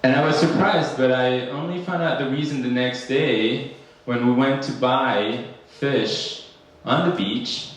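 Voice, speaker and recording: Slow, hesitant man in echoey hall. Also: mid-range voice. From Ecuador. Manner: hesitant, many fillers and restarts. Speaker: academic panel speaker. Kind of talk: conference talk. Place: conference room. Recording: echoey hall, speaker far from mic.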